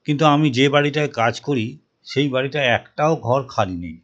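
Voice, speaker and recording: A man's voice: mid-range voice, animated, fairly quick, dull audio, lightly acted. A man voicing an earnest, caring young working man from a village in cartoon dialogue. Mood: apologetic.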